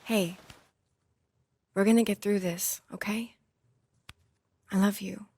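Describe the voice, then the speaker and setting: A woman's voice: mid-range voice, fairly even intonation, takes it slowly, a little mellow, husky. A woman playing an athlete's supportive but insistent young girlfriend in a video game scene, earnest and natural in delivery. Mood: reassuring. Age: young adult.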